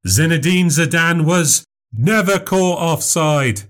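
A man voices an eager memory showman in this spoken story, pitched up from the reader's own voice. He is formal and overly polite, putting on a show for the crowd. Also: animated, takes it slowly, a bit bright. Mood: showy, polite. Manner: showy, overly polite, says "sir" and "madam".

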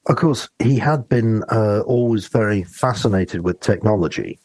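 A man co-hosting a podcast, talking casually about books and telling personal anecdotes in a hesitant, reflective, halting way. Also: lowish voice, a little mellow, no echo. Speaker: podcast co-host.

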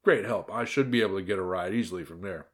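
A man voicing a meek, smooth-talking big bad wolf, polite and pleading, in a satirical fairy tale. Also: deep voice, quick pace, lightly acted.

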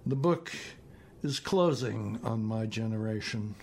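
A man in his seventies with an American accent, reading his own poetry and prose in a deliberate, measured way. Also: fairly low pitch, animated, darkish tone, a little hiss or hum. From northeastern United States. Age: 70s. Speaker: poet reading own work.

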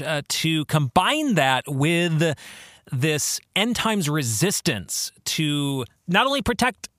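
Guy doing a solo podcast, slightly high voice, earnest and emphatic, really dry recording. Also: sharp tone, no room sound. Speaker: podcast host and author. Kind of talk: reflective solo podcast talk.

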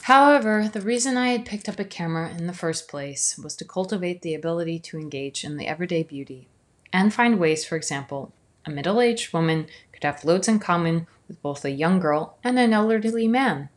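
Thoughtful woman, low-toned reflective narration. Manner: measured, thoughtful, some words drawn out slowly. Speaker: video essayist.

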